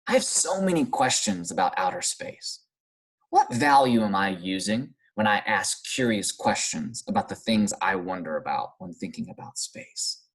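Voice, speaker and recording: An American man teaching young children in a read-aloud lesson, using simple, encouraging phrases and questions with pauses for answers. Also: lowish voice, sing-song, husky, rough recording. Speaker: primary school teacher.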